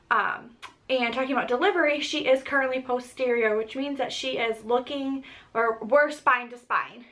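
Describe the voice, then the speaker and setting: A woman's voice: a little high, a bit bright. A young pregnant woman giving a casual vlog update, congested and a little short of breath, chatty with frequent ums. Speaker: pregnancy vlogger.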